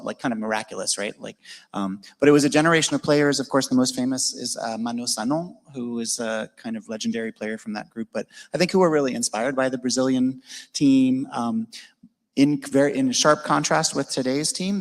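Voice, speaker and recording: Fast-talking man in an echoey hall. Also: mid-range voice. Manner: fast, thoughtful, many fillers and restarts. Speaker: panelist, historian. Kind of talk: casual panel discussion. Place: event venue with a large hall.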